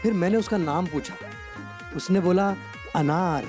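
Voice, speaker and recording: A man's voice: high voice, a little slow. A man co-hosting an award show, telling scripted jokes and announcing presenters. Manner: joking, storytelling. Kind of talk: stage emcee with scripted jokes. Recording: music and crowd behind stage voice.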